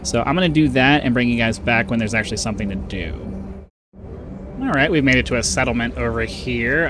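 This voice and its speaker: A man with a nasal voice hosting a casual let's-play, rambling and chatty, with a bass-boosted desktop microphone. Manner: rambling, chatty, with frequent uhs and little laughs. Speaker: gaming channel host. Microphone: desktop microphone with bass boosted by an equalizer.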